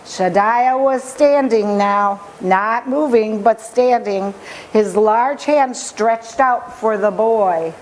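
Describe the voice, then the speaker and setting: A woman reading a children's story aloud with expressive, dramatic and deliberate phrasing. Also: a little high, slight background noise, poor audio.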